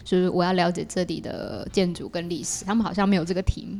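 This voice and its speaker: A woman's voice: lowish voice, steady tone, quick pace, darkish tone. A woman with a Taiwanese Mandarin accent, a guest explaining things in a casual conversational way. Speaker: guest, artist presenting own work.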